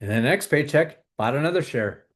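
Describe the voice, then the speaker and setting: A man hosting a video, calmly guiding viewers through a tapping exercise with repeated phrases. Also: medium pitch, moderate pace. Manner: calm, repetitive, coaching tone. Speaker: host leading a tapping exercise. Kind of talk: guided tapping exercise and money talk.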